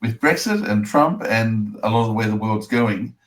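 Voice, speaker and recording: Slightly low-voiced man hosting a podcast. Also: animated, moderate pace. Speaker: podcast host. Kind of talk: casual podcast interview chat.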